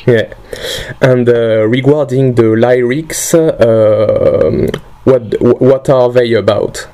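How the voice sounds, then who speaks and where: A man in the interviewer's seat, talking slowly at a mid pitch. He stumbles through his questions with fillers and restarts. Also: mellow. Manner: hesitant, many fillers and restarts.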